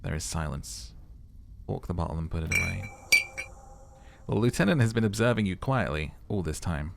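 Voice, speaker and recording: Male playthrough narrator: low, gravelly voice, storytelling and offhand commentary, faint music. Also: animated, normal speed, noisy background. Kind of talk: dramatic narration and casual commentary.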